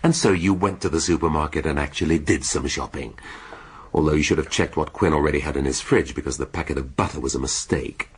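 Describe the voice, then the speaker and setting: An actor playing a shrewd, sardonic middle-aged British police inspector in a radio drama, speaking deliberately and with relish. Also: male voice, very deep voice, slightly rough, exaggerated voice. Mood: smug, deliberate. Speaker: police detective.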